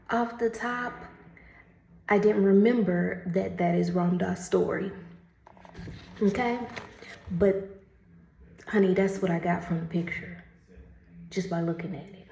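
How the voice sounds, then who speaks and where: A woman doing a casual oracle card reading, chatty and laughing often, who calls the listener honey and reads the card text slowly and carefully. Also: mid-range voice, vocal fry, darkish tone, echoey room.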